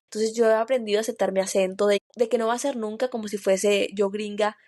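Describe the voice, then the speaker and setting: A woman's voice: mid-range voice, steady tone, quick pace, darkish tone. Heartfelt woman with a Spanish-speaking accent. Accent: Spanish-speaking foreign accent. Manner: heartfelt, personal, stumbles over repeated words. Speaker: podcast co-host. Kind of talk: reflective podcast chat.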